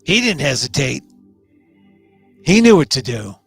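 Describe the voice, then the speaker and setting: A middle-aged man with a hoarse, scratchy voice, hosting a live online talk show and giving loose, opinionated commentary with restarts. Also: mid-range voice, sing-song, a little slow, fairly bright tone, faint music behind.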